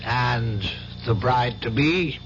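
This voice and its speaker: An actor playing a courteous, calm priest in a vintage radio drama, speaking politely and reassuringly. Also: male voice, mid-range voice, slow pace, soft background music. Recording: noisy, dull vintage radio recording with hum.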